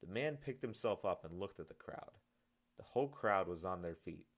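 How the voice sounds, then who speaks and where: A man's voice: low voice, animated, moderate pace. A man reading his own story as scripted audiobook narration.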